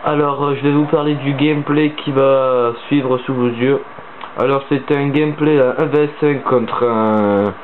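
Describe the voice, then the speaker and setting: Teen boy, stuffy with a cold, hesitant rambling gamer voice-over, tinny cheap mic. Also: mid-range voice. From southern France. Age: teens. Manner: rambling, hesitant with many fillers. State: stuffy-nosed from a cold, sniffling. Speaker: gaming channel host. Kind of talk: casual gaming commentary voice-over. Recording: tinny phone-like mic with faint hiss.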